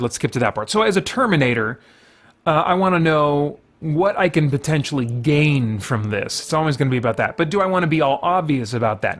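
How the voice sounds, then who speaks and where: A man's voice: a little high, a bit bright. A man hosting a casual let's play, thinking aloud and rambling as he goes. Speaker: gaming video host.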